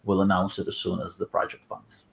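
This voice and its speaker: Low-pitched, gravelly man on a call. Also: monotone, a little fast. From Odessa, Ukraine. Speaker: writer, translator and anthology editor. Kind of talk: casual project introduction on a video call. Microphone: video call microphone.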